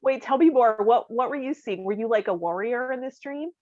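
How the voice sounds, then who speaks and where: A woman's voice: on the high side, fairly quick, darkish tone, dry recording. Dull lo-fi, giggly woman chatting casually. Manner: giggly, laughs often while talking. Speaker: podcast co-host. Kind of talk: casual chat. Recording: dull, lo-fi, dry audio.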